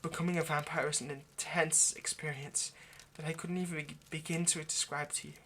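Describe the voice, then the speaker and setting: A man's voice: on the high side, flat delivery, brisk, slight background noise. A man playing an earnest, lovestruck vampire in an intimate roleplay monologue, speaking hesitantly and persuasively. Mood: solemn, cautioning. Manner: hesitant, stammering, gently persuasive. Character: earnest, lovestruck male vampire coaxing a partner.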